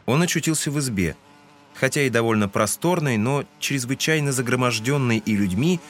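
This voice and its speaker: Guy narrating a classic novel, medium pitch, scripted storytelling read. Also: lively intonation, a little fast, fairly bright tone.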